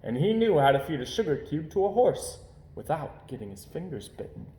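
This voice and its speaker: A man reading a children's story aloud as storyteller, with expressive narration. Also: a little high, fairly quick. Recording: roomy, echoing home recording.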